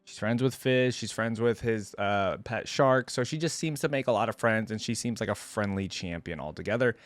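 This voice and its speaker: A man's voice: lowish voice, normal speed. A man hosting a reaction video with casual, musing, explanatory commentary.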